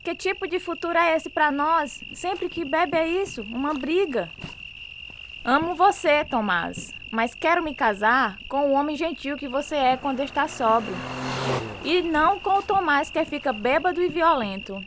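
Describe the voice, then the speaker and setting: A woman's voice: high voice, lively intonation, moderate pace. A woman acting in a radio drama as the worried, pleading wife of a violent drinker. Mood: pleading, upset. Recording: noisy night ambience with insects.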